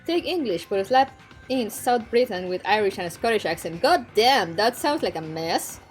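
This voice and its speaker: A woman's voice: medium pitch, animated, moderate pace, soft background music. A young woman whose first language is Spanish, speaking English with a Spanish accent as she chats casually with her stream viewers, rambling and laughing often. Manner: giggly and rambling, often laughing between lines.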